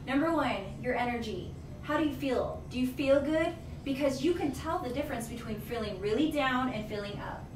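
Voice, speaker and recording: A woman's voice: sits high, brisk. A woman in her thirties, a nutritionist guest on a radio show interview, speaking emphatically and energetically in a teaching tone, recorded indoors in a small office by a distant camera. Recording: echoey room, distant mic, background noise.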